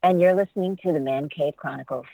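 A woman's voice: sits low, quick pace, dry recording, narrow, tinny audio. A middle-aged woman from Washington, D.C., an actress and dialect coach, talking casually as an interview guest in a rambling, reflective way with many fillers.

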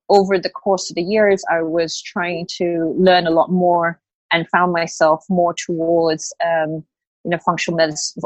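A woman's voice: on the low side, no echo, low-quality recording. A woman in her late thirties who grew up in Australia, a health coach talking in a podcast interview, hesitant with many fillers and restarts. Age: late 30s.

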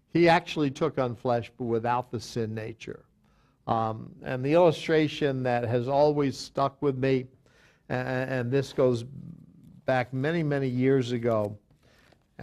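Male pastor, Bible study teaching, halting delivery, mid-pitched voice, reads scripture aloud. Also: a little mellow.

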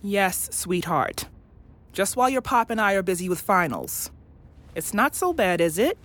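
A woman playing a loving, patient, academic mother in an audio drama, explaining things gently and thoughtfully, with some hesitation. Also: medium pitch, sing-song, moderate pace. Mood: patient, firm.

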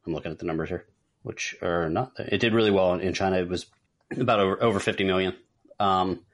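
Male podcast host, deep voice, casual moderator who explains and recaps in a measured manner. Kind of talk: casual talk show moderating.

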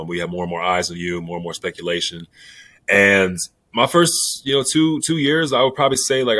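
Very deep-voiced fast-talking young man. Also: a bit bright. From New York. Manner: laid-back, rambling with fillers. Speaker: basketball player guest. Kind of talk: candid interview answers.

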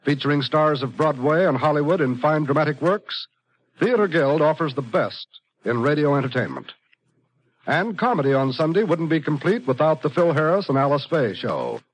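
High-pitched American radio announcer man. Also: big pitch swings, moderate pace, poor audio. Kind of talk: 1950s radio announcing, scripted.

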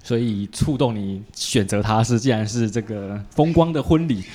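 A man's voice: on the low side, normal speed, warm tone. A man with a Taiwanese Mandarin accent hosts a casual podcast interview, feeling his way into questions in a hesitant, roundabout manner.

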